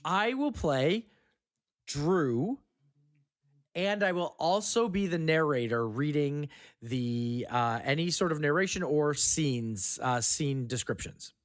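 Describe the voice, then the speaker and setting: A man's voice: on the high side, lively intonation, slow. A man hosting a podcast, moving between casual chat and scripted scene narration.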